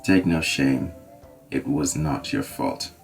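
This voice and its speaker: An actor voicing a grave Scottish nobleman with a steady, reassuring manner. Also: rumbling low, slow pace, soft background music, heavily acted. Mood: solemn. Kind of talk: fan audio drama acting.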